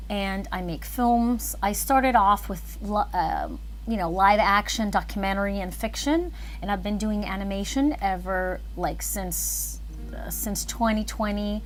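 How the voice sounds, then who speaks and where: A woman's voice: medium pitch, a little hiss or hum. A woman chatting casually and thinking aloud as she hosts an animation livestream. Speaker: animator and filmmaker streaming live.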